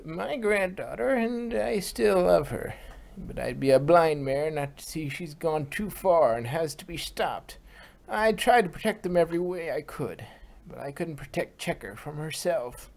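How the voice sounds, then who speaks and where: A woman's voice: low-pitched, expressive, measured pace, gravelly, a little mellow, heavily acted. A woman voicing a firm, loving old grandmother pony, earnest and reassuring, in an amateur fan fiction reading. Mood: sorrowful.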